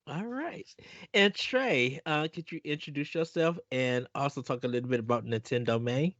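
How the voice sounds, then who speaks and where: A man co-hosting a podcast, leading the talk in a lively way and laughing easily. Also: mid-range voice, moderate pace, no echo, dull audio. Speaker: podcast co-host.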